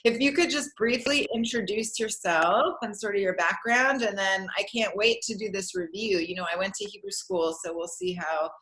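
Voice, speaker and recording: A woman's voice: mid-range voice, moderate pace, hardly any pauses, fairly bright tone. A middle-aged woman hosting a casual chat over a video call, giving brief encouraging replies and laughing along. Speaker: host. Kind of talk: casual interview. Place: room at home, video call. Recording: echoey room on a video call.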